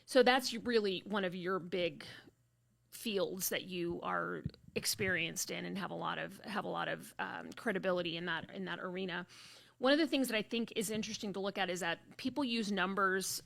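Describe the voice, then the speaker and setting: A woman's voice: lowish voice, moderate pace, vocal fry, fairly bright tone. A woman hosting a podcast, chatting casually and rambling a little, with restarts and fillers. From Arizona. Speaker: podcast host. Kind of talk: casual interview chat.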